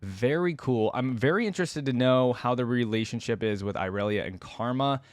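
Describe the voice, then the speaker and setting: A man's voice: mid-range voice, normal speed, dry recording. A man hosting a reaction video, giving casual, chatty, enthusiastic fan commentary in informal language.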